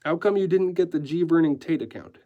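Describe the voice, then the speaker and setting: A man's voice: on the high side, a little fast, lo-fi sound, pitched above the performer's own voice, lightly acted. A man reading the part of an unruffled veteran male newspaperman in a story, asking plain, direct questions. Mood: probing, blunt.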